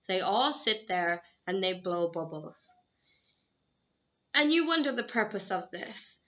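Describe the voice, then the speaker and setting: A young woman with an Irish accent speaks to her students in a friendly, measured, encouraging way. Her voice is bright and forward. The recording sounds a little dull and lo-fi. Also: mid-range voice. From Ireland. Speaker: English teacher. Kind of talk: self-introduction video to students.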